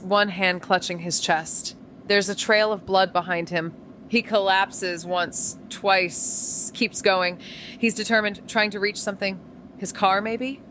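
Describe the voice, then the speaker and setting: An actress playing a sleepless, sardonic young amateur detective in an audio drama, sweary, wry and defiant. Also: female voice, mid-range voice, a bit bright, a little hiss or hum, natural voice. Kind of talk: first-person audio drama narration and dialogue.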